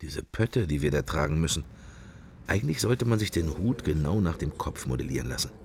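Radio drama inner monologue: wry, irreverent observer, deep and gravelly, thinking in musing fragments. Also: male voice, animated, a little fast, a little hiss or hum, full character voice. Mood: musing, ironic. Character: wry, musing male sceptic, inner thoughts while observing church.

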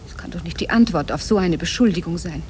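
An actress playing a distraught, accusing wife in a German radio play from around 1960, agitated and insistent. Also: female voice, fairly low pitch, fast talker, darkish tone, natural voice. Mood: anxious, pleading. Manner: agitated, insistent, pleading, emphatic. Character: distraught, accusing wife who discovers her husband's deception. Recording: noisy old radio drama cassette transfer.

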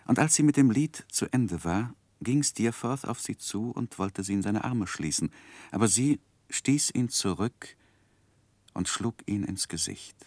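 Earnest, deep-voiced young gentleman. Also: expressive, unhurried, no echo. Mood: grave, reflective. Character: earnest, reserved young gentleman recounting his own past. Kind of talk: 1960s German radio play acting and narration.

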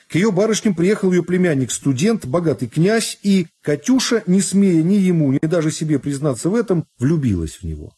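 Man, slightly high-set voice, mellow tone, scripted literary narration, radio play, measured storytelling, light music behind. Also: slightly rough.

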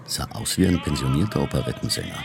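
Very deep, gravelly inspector. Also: male voice, expressive, fast talker, music in the background, exaggerated voice. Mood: sardonic. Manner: muttering, sardonic asides. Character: grumbling, anxious male police inspector, sardonic hard drinker. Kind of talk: radio crime drama acting.

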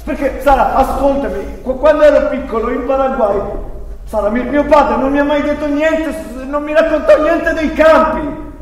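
A man's voice: very high-pitched, moderate pace. An actor on stage playing an agitated young man, pleading and stammering as he speaks. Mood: desperate, pleading. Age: young adult. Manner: pleading, stammering, repeating himself. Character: agitated young German-Paraguayan man, son of a war criminal. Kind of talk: live stage drama reading. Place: theatre stage, far from the mic. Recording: very echoey hall, distant microphone, faint noise.

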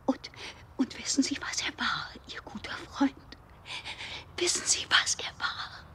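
A desperate widow in a German radio drama. Her voice sits fairly high and swings widely in pitch. She is halting and intense, often sinking to a breathy whisper, full of fear. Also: very animated, a bit bright, exaggerated voice. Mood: bitter, intense. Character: desperate, frightened widow fleeing after a death.

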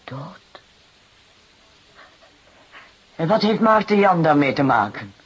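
A performer playing a weary, aggrieved working-class mother of seven in a Dutch radio drama, plaintive and hesitant, with a husky, breathy voice. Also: mid-range voice, big pitch swings, normal speed, muffled recording. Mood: sorrowful.

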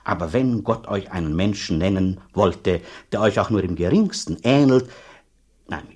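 A husky, low-voiced, blunt country dean. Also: male voice, lively intonation, a little mellow. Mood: doubtful, insistent. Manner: halting, emphatic, repeats himself. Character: blunt, talkative male rural dean, sceptical and condescending. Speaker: actor in a radio play. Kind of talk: German radio drama acting.

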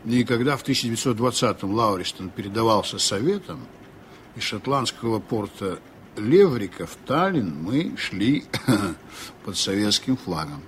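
Man acting composed old-radio sea captain, low voice, thoughtful and measured, bit of noise. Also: lively intonation, lightly acted. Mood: reminiscing. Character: seasoned Estonian sea captain, honest, reflective, composed. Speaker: actor in a radio play. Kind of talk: 1980s Soviet radio drama acting. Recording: noisy old radio play recording.

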